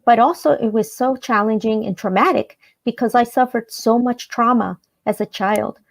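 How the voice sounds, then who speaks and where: A woman's voice: on the high side, normal speed, no echo. A woman from El Salvador, a native Spanish speaker, telling her personal story as an interview guest. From El Salvador, then Maryland, United States from age ten.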